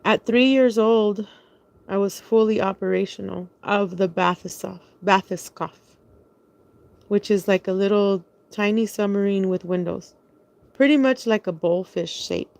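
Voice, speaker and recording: Woman, casual monologue, vocal fry, hesitant, fillers, spells out words, mid pitch. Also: a little slow, darkish tone. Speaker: vlogger telling her own story.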